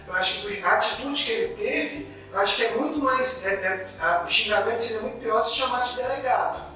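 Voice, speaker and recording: A man from Goiás in Brazil co-hosting a political talk show, talking in an indignant, halting way with many restarts. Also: very high voice, sharp tone, heavy reverb, far from the mic, low-quality recording. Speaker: political commentary co-host. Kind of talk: casual political discussion.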